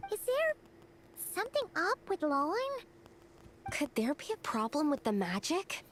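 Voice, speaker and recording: High, animated young researcher. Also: female voice, measured pace, slight background noise, full character voice. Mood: worried. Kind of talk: video game voice acting.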